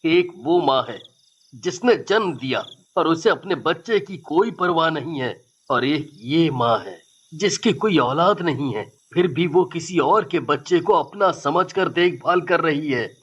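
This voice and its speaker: A man's voice: fairly high pitch, expressive, measured pace, fairly bright tone, slightly rough, lo-fi sound, heavily acted. An actor playing an exasperated young husband and devoted father in a story, reproachful and earnest.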